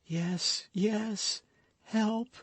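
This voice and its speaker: Guy putting on a dying young woman, high thin voice, weak and breathless, really slow. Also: fairly even intonation, fairly bright tone, husky, no room sound, heavily acted. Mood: faint, pleading.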